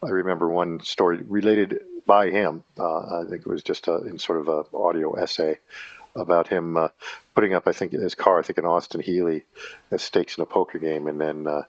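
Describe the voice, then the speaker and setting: A man in his fifties with a very low voice talks in a loose, halting monologue, stopping often with fillers and correcting himself. The sound is dry and a bit muffled. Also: steady tone, dry recording. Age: 50s. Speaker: channel host. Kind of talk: casual rambling monologue.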